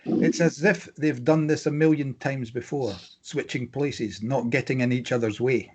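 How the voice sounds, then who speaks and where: A man narrates a drama script as the reader of stage directions. His voice is of middling pitch, and he delivers the scene headings and actions as scripted narration. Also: normal speed, a little mellow, slightly rough.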